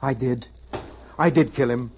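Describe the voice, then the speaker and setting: Vintage radio drama, dull band, music underneath: male actor as tormented man convinced he has died, guilt-racked, melodramatic, imploring, medium pitch. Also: a little slow, a little hiss or hum, lightly acted. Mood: anguished. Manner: melodramatic, pleading. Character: anguished guilty man convinced he is a dead soul. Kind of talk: 1950s radio drama acting, narrating and in dialogue. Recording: muffled vintage radio recording, music behind.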